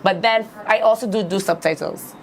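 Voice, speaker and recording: A woman's voice: medium pitch, normal speed. Chatty young Barbadian woman, candid and open. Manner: open and chatty, with many restarts and "like". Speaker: guest influencer. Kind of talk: candid casual interview.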